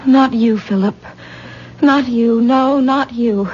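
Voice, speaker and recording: An actress playing a wistful, worried wife in a 1970s radio drama, guarded and wry yet tender. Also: female voice, a little high, takes it slowly, darkish tone, faint music behind. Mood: tender, reassuring. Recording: muffled, noisy 1970s radio broadcast.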